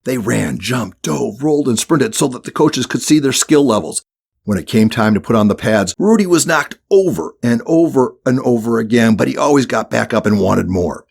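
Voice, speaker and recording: A man's voice: mid-range voice, expressive, normal speed, creaky, a little mellow, husky. A man reading a scripted children's audiobook as the narrator.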